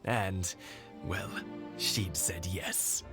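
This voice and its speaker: A man voicing a good-humored, sentimental elderly husband in a video game, reminiscing in a halting way. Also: sits low, fairly even intonation, creaky, over music, full character voice. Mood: nostalgic. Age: older. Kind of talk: video game dialogue acting.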